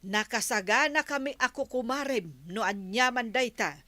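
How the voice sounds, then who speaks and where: A nosy, caring village woman with a bright, forceful, higher voice and an Ilocano accent. She chats and probes like a close friend in a radio comedy-drama. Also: normal speed, no echo. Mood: concerned. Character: concerned, inquisitive female village neighbour and friend.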